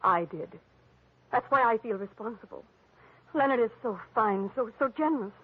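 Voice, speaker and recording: A woman's voice: a little high, lively intonation, moderate pace, breathy edge. An actress playing an evasive, pleading married woman with dramatic delivery in a 1940s radio drama. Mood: pleading, confessing. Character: pleading, evasive, melodramatic wife of a rich man. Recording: muffled, phone-like old radio recording.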